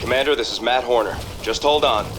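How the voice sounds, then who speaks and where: An actor playing an earnest young male ship officer in a game, giving brisk radio reports. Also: mid-range voice, very noisy, natural voice. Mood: urgent.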